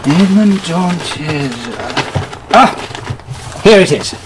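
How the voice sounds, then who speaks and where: A man's voice: a little high, lively intonation, very slow, background noise. An actor playing a nervous, obliging male records clerk who stammers and hesitates in a radio drama.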